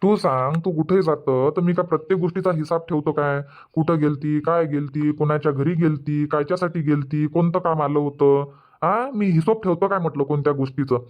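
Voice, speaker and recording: A man's voice: fairly high pitch, brisk, dull audio, close to an everyday voice. A man voicing an irritable, argumentative village husband in a comedy cartoon, grumbling in a Varhadi Marathi accent. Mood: exasperated. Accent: Varhadi Marathi (Vidarbha).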